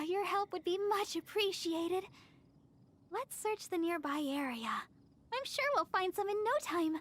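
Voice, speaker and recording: A woman voicing a gentle, modest young church healer girl in a video game, earnest and soft-spoken. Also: extremely high pitch, slight background noise. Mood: kind, helpful.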